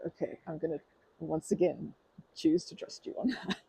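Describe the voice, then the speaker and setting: A performer in an ASMR roleplay playing a nervous, polite stranger who flirts shyly in a wordy, hesitant ramble, with a husky, creaky voice. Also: medium pitch, steady tone, moderate pace, warm tone, a little hiss or hum, lightly acted, low-quality recording. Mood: nervous, apologetic.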